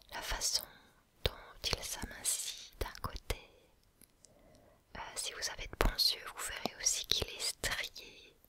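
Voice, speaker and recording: Slow whispering man, ASMR talk. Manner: soft, slow whisper with pauses and restarts; musing, reflective. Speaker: ASMR video creator.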